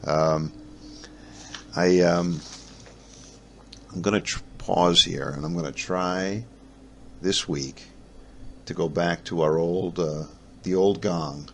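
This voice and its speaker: A man hosting a hobby podcast, talking casually in a halting, rambling way with frequent pauses and restarts. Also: sits low, steady tone, a little hiss or hum. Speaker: podcast host. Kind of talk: casual solo podcast talk about ham radio.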